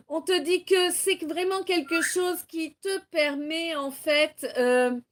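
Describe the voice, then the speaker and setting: A French woman with a high voice hosts a live-streamed card reading and chats as she goes. She talks at an unhurried pace, laughs often, and reads the viewers' messages aloud. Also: steady tone. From Normandy, France. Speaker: tarot reader hosting a live stream.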